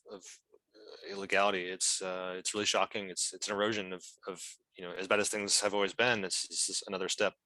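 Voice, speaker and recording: A man answering questions at an online meeting, speaking earnestly in a halting, hesitant way. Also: sits low, sharp tone, dry recording. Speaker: legal team member as guest speaker. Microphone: video call.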